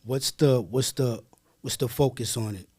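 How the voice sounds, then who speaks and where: A man's voice: mid-range voice, a little slow, a little mellow, slightly rough. American man interviewing in casual slang. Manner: informal, slangy.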